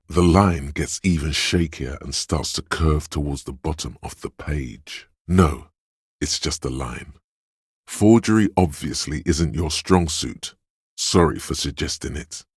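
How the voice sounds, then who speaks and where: A man voicing a wry, erudite inner voice that narrates a detective's thoughts in a video game, deliberate and literary, with a gravelly husky tone. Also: rumbling low, dry recording, studio quality, heavily acted. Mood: dry, sardonic. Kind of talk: scripted second-person narration for a role-playing video game.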